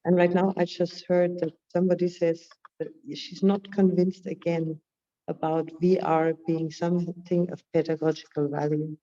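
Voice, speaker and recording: A woman, croaky from a cold, speaks in a low, mellow voice during an online group discussion. She often repeats how she starts a sentence. Her call audio sounds distant and dull. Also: level tone, normal speed. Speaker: workshop participant. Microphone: computer mic on a video call, camera off.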